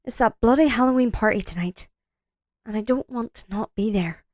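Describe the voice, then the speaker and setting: An actress playing a young, easygoing college student in an audio drama, with a conversational manner. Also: female voice, a little high, moderate pace, background noise, close to an everyday voice. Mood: confused. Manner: casual, conversational.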